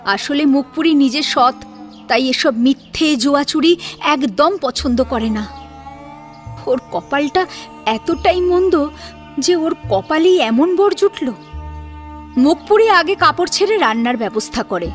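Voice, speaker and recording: A woman narrating a scripted story in an expressive, dramatic storytelling style. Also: high-pitched, unhurried, long pauses, faint music behind.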